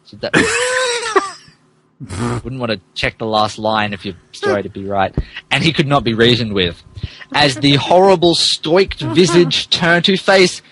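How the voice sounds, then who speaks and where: A man's voice: mid-range voice, expressive, measured pace, long pauses, a bit bright, a little hiss or hum, poor audio. A man reading fan fiction aloud as a podcast host, in mock-dramatic narration broken by amused asides. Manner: theatrical, breaks character to comment.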